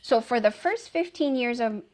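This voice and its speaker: A woman's voice: a little high, animated, moderate pace. Filipino-born woman talking through her slides, lots of ums, easygoing. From Philippines. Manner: casual, many "um" fillers. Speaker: wellness speaker. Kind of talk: presenting a talk with slides.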